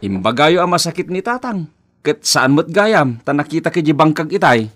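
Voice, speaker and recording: Animated, pleading Ilocano husband. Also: male voice, on the high side, moderate pace. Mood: reproachful. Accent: Filipino, Ilocano. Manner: questioning, pleading. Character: bewildered husband caught between wife and mother. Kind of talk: radio drama acting.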